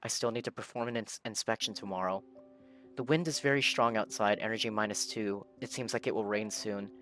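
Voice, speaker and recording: A man's voice: fairly low pitch, steady tone, brisk, a bit bright. A man live-streaming a video game, giving casual reactions and reading game text aloud. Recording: game music behind the voice.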